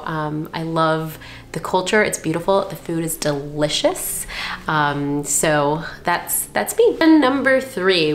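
Chatty young woman, noisy room. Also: fairly low pitch. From Dubai and Northern California. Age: young adult. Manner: chatty, friendly, informal. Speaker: vlog host, surgery resident. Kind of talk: casual Q&A chat to viewers. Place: indoor room by a window.

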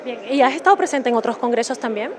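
High-voiced woman reporting live. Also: lively intonation, quick pace. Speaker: TV field reporter. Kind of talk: live on-location interview. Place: conference venue lobby. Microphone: handheld mic. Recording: crowd chatter in a busy lobby.

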